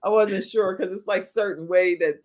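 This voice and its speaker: A woman's voice: medium pitch, steady tone, moderate pace, darkish tone. A woman hosting her own talk show, chatty and emphatic, welcoming guests and viewers. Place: virtual studio.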